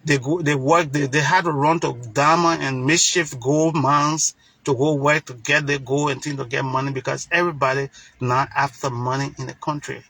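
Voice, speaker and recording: A man's voice: mid-range voice, fairly even intonation, normal speed, bright, forceful tone, low-quality recording. A man from Liberia with a Liberian accent, giving an impassioned political monologue in an emphatic, preacher-like way, repeating phrases for stress. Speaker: political commentator.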